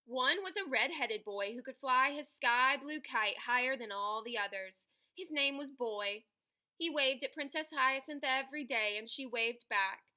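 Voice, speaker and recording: A woman reading a children's picture book aloud in an animated, dramatic way, stretching key words for emphasis. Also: high-pitched, a little slow. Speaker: read-aloud storyteller.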